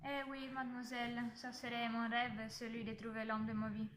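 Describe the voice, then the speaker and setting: A woman's voice: a little high, little pitch movement, normal speed, a bit bright, a little hiss or hum, lightly acted. A woman playing a scatterbrained, romantic travel client in an amateur phone role-play, hesitant and rambling. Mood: dreamy, polite. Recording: music behind.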